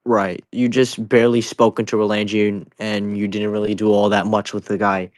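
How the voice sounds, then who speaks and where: A young man streaming and chatting casually, rambling, with his pitch jumping up when he stresses a point. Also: flat delivery, moderate pace, no echo. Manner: rambling, swings up in pitch on emphatic lines. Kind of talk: casual chat.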